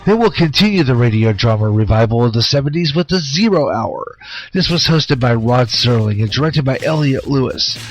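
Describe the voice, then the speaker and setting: A man's voice: mid-range voice, expressive, normal speed, low-quality recording. A man hosting a radio show, reading a scripted introduction. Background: faint music.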